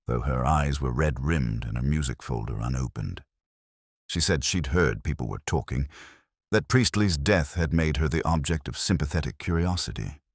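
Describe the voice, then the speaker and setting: A man voicing a reflective police inspector who narrates his case in the first person, measured and literary. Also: very deep voice, dry recording, studio quality, heavily acted. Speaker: detective narrator. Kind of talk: scripted first-person radio-drama narration.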